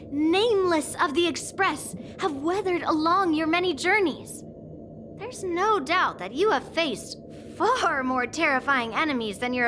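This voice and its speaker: Teasing swordmaster mentor. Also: female voice, sits high. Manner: teasing, coaxing and self-assured. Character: confident teasing swordmaster mentor, young woman. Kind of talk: game cutscene voice acting. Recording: game audio with music behind.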